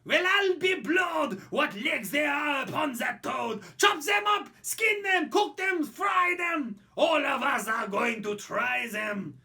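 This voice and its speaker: Woman shouting as frenzied greedy mob, storybook poem, high, loud, wild. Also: animated, slow pace, hardly any pauses, heavily acted. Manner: shouting wildly. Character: angry shouting French mob of men.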